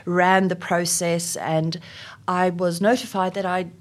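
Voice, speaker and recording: A woman's voice: lowish voice, level tone, a little slow. A middle-aged South African woman, Afrikaans her first language, giving thoughtful interview answers with frequent ums and restarts. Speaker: interview guest, HR executive.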